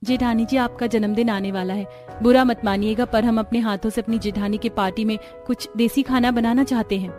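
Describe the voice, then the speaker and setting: A woman's voice: fairly high pitch, fairly even intonation, fairly quick, warm tone, soft background music, close to an everyday voice. A woman voicing a dutiful, traditional young sister-in-law with a polite, deferential manner. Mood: shy, pleading.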